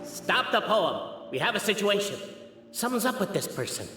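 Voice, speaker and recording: An actor playing a grandiose, declamatory poet in a game, reciting in theatrical style. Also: male voice, high-pitched, very animated, moderate pace, bright, forceful tone, soft background music, full character voice. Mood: alarmed. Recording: echoey hall reverb.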